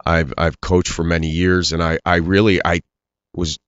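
A man's voice: sits low, level tone, moderate pace, clean tone, no room sound, dull audio. A middle-aged man from Iran, raised in Pennsylvania, talking earnestly in an interview with many restarts. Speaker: actor guest. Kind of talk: interview conversation.

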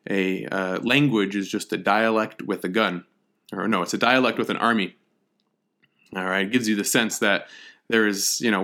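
A man's voice: on the low side, fairly bright tone. A man hosting a podcast, talking through a topic in a conversational, lecture-like way with frequent fillers and restarts. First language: English. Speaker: podcast host. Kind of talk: casual lecture-style podcast monologue.

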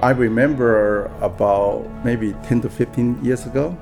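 A man in his sixties from Taiwan, a guest on a podcast interview, talking in a soft, halting way with many restarts. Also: medium pitch, gravelly, over music. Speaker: guest real estate broker.

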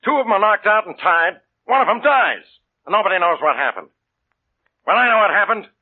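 A gruff, impatient police inspector who speaks bluntly in a high voice. The sound is thin and tinny, like an old radio broadcast. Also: male voice, animated, brisk. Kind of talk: 1940s radio acting.

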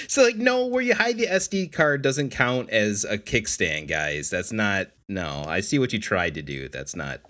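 A man's voice: fairly low pitch, big pitch swings, a little slow, bright, forceful tone. A man co-hosting a podcast, talking casually and a little wryly about video games. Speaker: podcast co-host.

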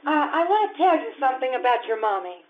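High-pitched actress as a coy wife and gentle mother, radio-comedy style, dull lo-fi stage recording. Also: big pitch swings, quick pace, slight background noise. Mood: tender. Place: live theatre stage.

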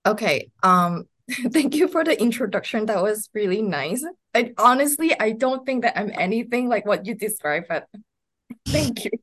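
A woman's voice: a little high, animated, moderate pace, fairly bright tone. A woman from Hong Kong chatting casually as an interview guest, with informal phrasing full of "like". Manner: informal, peppers talk with "like". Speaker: podcast guest.

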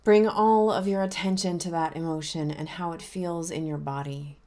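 A woman minister leading a guided meditation in a gentle, unhurried, inviting way. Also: fairly low pitch, fairly even intonation.

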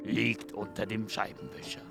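A man's voice: fairly low pitch, steady tone, normal speed, creaky, over loud music. A man playing a pompous, grumpy narrator of a comic detective audio drama, speaking theatrically. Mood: solemn. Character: pompous, grumpy male storyteller of a detective serial.

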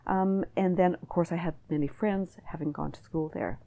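A woman's voice: lowish voice, normal speed, creaky, dark tone. A middle-aged woman with a Canadian accent, speaking as an interview guest in a thoughtful, hesitant way with many restarts. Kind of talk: reflective conversational interview.

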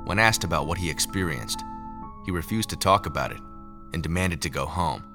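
A very low-pitched male scientist played by a male actor tells his story in a sci-fi audio drama. He is haunted and measured, brooding over each phrase, with music clearly playing underneath. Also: expressive. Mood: brooding, grave. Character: haunted male lab scientist recounting secret experiments. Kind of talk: first-person scripted audio drama narration.

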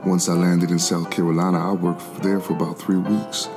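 Actor as deep, level-toned man escaping slavery. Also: level tone, brisk, loud music behind the voice. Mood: weary, resolute. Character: weary, determined escaped slave narrating his 1700s flight to freedom. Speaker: narrator in a gospel oratorio. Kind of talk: dramatic scripted first-person narration.

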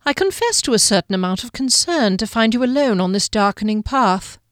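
A woman voicing a tall, mysterious male guardian in an audiobook, smooth, formal and courteous. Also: on the high side, lively intonation, fairly quick, dry recording, studio quality, close to an everyday voice. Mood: courtly, protective. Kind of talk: audiobook character dialogue.

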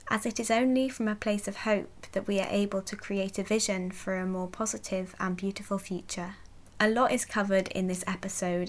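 A woman speaking as a podcast host, introducing and interviewing a guest. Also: mid-range voice.

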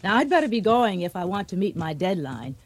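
An actress playing a polite, businesslike reporter in a radio drama. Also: female voice, medium pitch, very animated, brisk, slight background noise, lightly acted.

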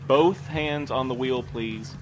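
A man's voice: medium pitch, takes it slowly, soft background music, lightly acted. An actor playing a fussy, rule-bound male postal supervisor in an audio drama, speaking in formal, precise phrases with a fretful air. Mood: disapproving. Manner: formal, prim, precise wording. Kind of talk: full-cast audio drama dialogue.

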